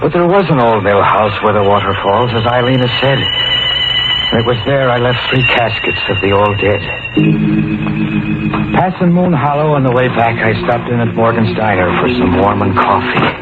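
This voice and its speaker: A man's voice: medium pitch, expressive, moderate pace, very noisy, close to an everyday voice. An actor playing a weary, haunted trucker, telling his eerie tale in a lyrical, brooding old-time radio drama style. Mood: weary, puzzled. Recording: muffled vintage radio recording, loud music behind.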